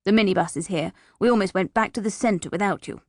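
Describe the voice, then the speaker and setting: A woman voicing a stern but kindly female teacher in a storybook, with a brisk, bossy, scolding manner. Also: medium pitch, dry recording, studio quality, lightly acted. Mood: chiding.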